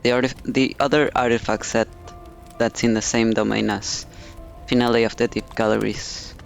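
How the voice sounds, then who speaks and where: A man streaming a game, giving casual, halting, musing commentary. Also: mid-range voice, level tone, creaky. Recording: faint game music behind.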